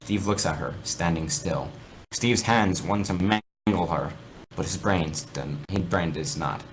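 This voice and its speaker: A man's voice: sits low, a little slow, slight background noise, low-quality recording. A man reading fan fiction aloud in an amateur dramatic style, often stumbling and correcting himself. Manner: theatrical, stumbles over words and corrects himself.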